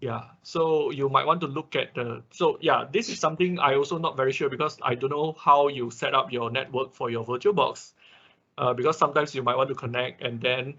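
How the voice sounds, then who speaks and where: A man's voice: medium pitch, fairly quick, bright, forceful tone, dull audio. A man working as an instructor in an online class, answering questions in a hesitant way, often checking with short confirmations. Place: online class over a computer call.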